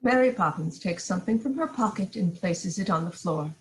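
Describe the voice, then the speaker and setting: A woman's voice: on the low side, animated, a little fast, slight background noise, low-quality recording. A woman reading stage directions as narrator in an amateur script read-through.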